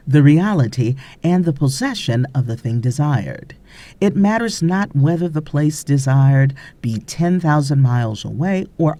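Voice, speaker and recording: Slow, expressive woman audiobook narrator. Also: rumbling low. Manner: measured, deliberate, instructive, pausing between phrases.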